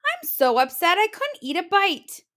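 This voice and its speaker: A woman's voice: very high-pitched, very animated, normal speed, sharp tone, pitched above the performer's own voice, exaggerated voice. A woman voicing a fussy mother bear who exclaims and wails dramatically. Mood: fretful.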